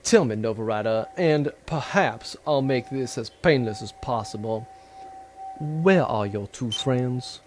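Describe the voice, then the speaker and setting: A man's voice: medium pitch, lively intonation, measured pace, a little mellow, faint music behind, close to an everyday voice. A man playing a scheming villain baron in an audio adventure, condescending, menacing and mockingly polite. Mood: stern, suspicious. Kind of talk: audio adventure drama acting.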